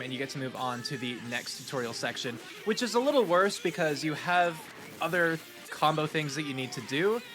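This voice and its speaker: A man with a stuffy nose giving relaxed, rambling commentary on his speedrun, with lots of ums. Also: on the high side. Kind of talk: casual post-run gameplay commentary.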